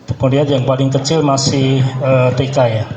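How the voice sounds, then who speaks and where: A man in his forties with a Javanese Indonesian accent introduces himself informally to a group. His voice is of middling pitch and carries a strong room echo. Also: little pitch movement, normal speed, background noise. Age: 40s. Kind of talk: informal self-introduction to a gathering. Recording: echoey room.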